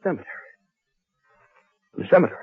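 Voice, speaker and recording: A man's voice: quick pace, dry recording, soft background music, lightly acted. An actor playing a pompous, cowardly, bad-tempered rich man, blustering and sputtering in old radio horror style. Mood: alarmed. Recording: muffled, narrow-band old radio recording.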